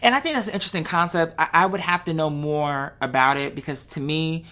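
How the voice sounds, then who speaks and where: A woman's voice: deep voice, brisk, a bit bright. A woman talking casually off the cuff to viewers, rambling conversationally and checking in with rhetorical questions. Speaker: vlogger. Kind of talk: casual off-the-cuff monologue, thinking aloud to viewers.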